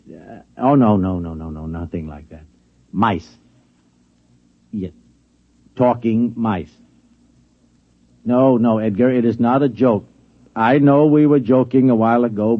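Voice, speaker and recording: An American actor playing a flustered, middle-aged lab security chief in a 1950s radio drama, stammering, insistent and coaxing. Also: male voice, medium pitch, close to an everyday voice. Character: flustered, pleading security chief of an atomic laboratory. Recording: muffled old radio recording.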